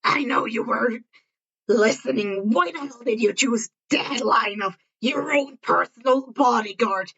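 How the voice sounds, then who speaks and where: A woman's voice: on the high side, unhurried, gravelly, a bit bright, husky, lo-fi sound, exaggerated voice. A woman voicing an angry, foul-mouthed teenage boy who barks and scolds, in an amateur story reading. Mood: furious, exasperated. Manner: barking, scolding, sweary.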